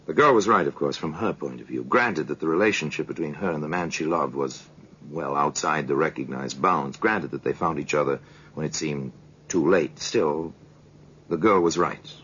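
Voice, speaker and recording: An actor playing a worldly, sardonic narrator of murder tales in a 1950s radio drama, speaking in a measured, ominous, theatrical way. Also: male voice, rumbling low, pushed and bright. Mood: knowing. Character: worldly, sardonic male storyteller of true murders. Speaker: narrator of a crime anthology. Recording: dull, noisy 1950s radio recording.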